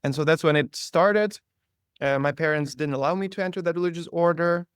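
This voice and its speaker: A man from Ukraine with a Ukrainian accent, a priest telling his life story as an interview guest in a relaxed, conversational way with many fillers. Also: a little high, fairly quick, clean tone, no room sound, studio quality. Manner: conversational, frequent fillers and restarts.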